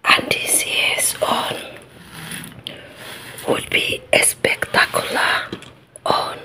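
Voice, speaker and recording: A woman with an African accent telling a folktale in a whispered ASMR style, with deliberate, drawn-out phrasing. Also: sharp tone. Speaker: storyteller.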